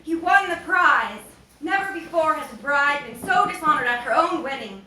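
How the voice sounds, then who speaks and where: Woman reader doing an imperious angry bride from a novel, bright and loud, mic far off. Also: high voice, expressive, moderate pace, voice pushed up. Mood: outraged. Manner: loud, forceful, accusing. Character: furious, imperious noble bride, wronged and demanding. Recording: roomy, distant, noisy bookstore recording.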